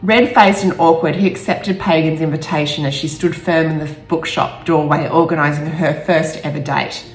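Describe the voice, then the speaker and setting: A woman author speaking in a halting, emotional way, stumbling over words now and then. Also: low-pitched, steady tone. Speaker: author reading own novel aloud. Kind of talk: amateur storybook reading with personal asides. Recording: roomy echo with faint music.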